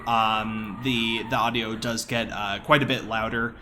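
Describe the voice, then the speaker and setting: A man's voice: fairly low pitch, little pitch movement, a little slow, slight background noise. A man giving casual, enthusiastic live commentary on his own video game speedrun in a conversational way. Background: quiet game audio.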